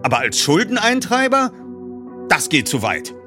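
A stern uncle from an audiobook, acted out by a male reader, curt and insistent in his refusals. His pitch moves in lively swings, and music plays along behind the voice. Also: normal speed. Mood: stern, indignant. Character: stern, gruff, bearded uncle refusing firmly. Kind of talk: audiobook dialogue.

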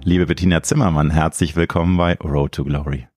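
A man with a deep voice hosts an interview podcast. He speaks articulately and keeps his address informal and personal. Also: steady tone, a little fast, darkish tone, dry recording, studio quality, faint music behind. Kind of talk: scripted podcast introduction and conversational interviewing.

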